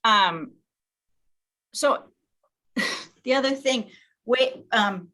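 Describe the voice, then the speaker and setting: Bright-voiced woman leading an informal meeting. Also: on the high side, very slow. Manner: chatty, self-interrupting. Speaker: meeting leader and organizer.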